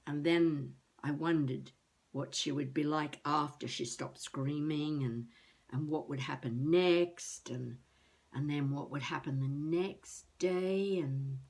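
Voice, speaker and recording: Elderly would-be novelist woman: unhurried, halting, wry, self-mocking video-diary monologue. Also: deep voice, vocal fry, darkish tone, full character voice. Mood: wry musing. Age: older. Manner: musing, halting, talking to herself.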